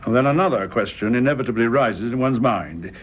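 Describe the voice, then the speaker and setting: Radio drama: courteous English officer, formal, hesitant, husky, muffled recording. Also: male voice, mid-range voice, animated. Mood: awkward, formal. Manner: formal, halting. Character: formal, embarrassed, courteous retired-style army major. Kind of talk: British radio drama acting.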